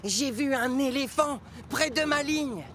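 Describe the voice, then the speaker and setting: An actor voicing an excitable, cheeky young talking locomotive in a French-dubbed children's cartoon, speaking in an eager, emphatic way. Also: high-pitched, a little slow, a little hiss or hum. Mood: eager, pleading. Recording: loud music behind.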